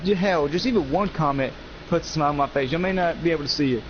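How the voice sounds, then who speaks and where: A young man of eighteen with a fairly high voice chats casually over a game. He is earnest and emphatic, repeating phrases as he goes, through a muffled microphone with clear background noise. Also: fast talker. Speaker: gaming YouTuber, co-host. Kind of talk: casual chat over gameplay. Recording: noisy, muffled lo-fi mic.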